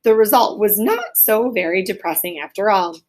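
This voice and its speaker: A woman's voice: mid-range voice, expressive, moderate pace. A woman reading a storybook aloud as a teacher to children, explaining as she goes and prompting with questions.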